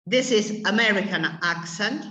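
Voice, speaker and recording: A woman's voice: mid-range voice, normal speed, bright, forceful tone, echoey room. A woman teaching English to adult learners, stopping often to search for words mid-sentence. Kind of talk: classroom explanation to adult learners.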